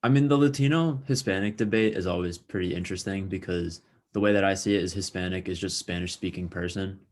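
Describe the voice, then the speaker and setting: A young man with a very low voice talks through ideas on a casual podcast. He circles and restarts, full of "like" and "you know.". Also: fast talker, a little hiss or hum. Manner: thinks aloud with many likes and restarts. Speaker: podcast co-host. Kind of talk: casual discussion.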